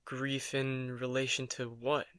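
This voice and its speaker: A man playing a drained, reluctant vampire in an immersive audio drama, speaking in terse, halting, stammering phrases. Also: medium pitch, flat delivery, fairly bright tone, smooth, no room sound, clean studio sound. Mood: weary, bewildered.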